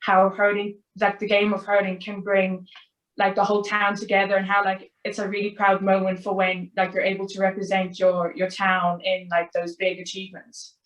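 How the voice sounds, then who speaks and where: A woman's voice: mid-range voice, completely flat delivery, normal speed, fairly bright tone. A woman with a South African accent, asking interview questions in a chatty way, with lots of "like". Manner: chatty, heavy use of "like". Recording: echoey room.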